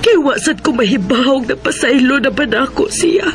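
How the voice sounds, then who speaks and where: A woman's voice: high-pitched, expressive, normal speed. An actress playing a forbearing, anguished wife and mother in a radio drama, emotional and pleading in a Filipino accent. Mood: anguished prayer. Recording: radio drama with music underneath.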